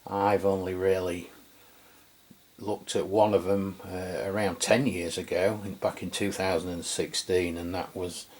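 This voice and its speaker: A man with an English accent hosting an unscripted product review, talking in a halting, conversational way as he walks through the details. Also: sits low, level tone, darkish tone. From England. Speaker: radio reviewer, channel host.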